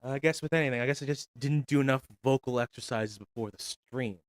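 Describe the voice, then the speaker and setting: A man cold reading folk tales aloud on a stream, unhurried and measured, his voice feeling a little rough after an hour. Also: medium pitch, no echo. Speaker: reader.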